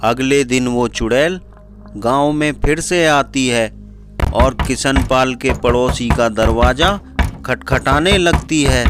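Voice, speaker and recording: A man narrating a scripted horror story in a measured, deliberate manner. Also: mid-range voice, a bit bright, soft background music.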